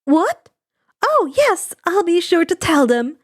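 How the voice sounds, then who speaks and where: A woman reader puts on a motherly cartoon character with a high voice. She swings between indignant scolding and sugary reassurance, with a very lively pitch in a dry recording. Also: slow pace, no echo, heavily acted. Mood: sweet, reassuring. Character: indignant motherly woman, scolding yet sweet with her son.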